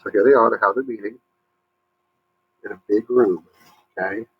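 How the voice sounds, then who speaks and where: A man reading a story aloud to young listeners, pausing to explain and ask questions. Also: lowish voice, slightly rough, narrow, tinny audio. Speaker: storybook reader, teacher.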